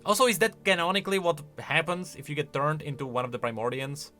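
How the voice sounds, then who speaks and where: A man giving casual, expressive commentary as a video host, thinking aloud as he goes. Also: a little high, quick pace, soft background music.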